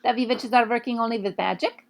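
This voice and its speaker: A woman voicing an imperious, child-hating Grand High Witch for a children's storybook, commanding and emphatic. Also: fairly even intonation, quick pace, vocal fry. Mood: menacing.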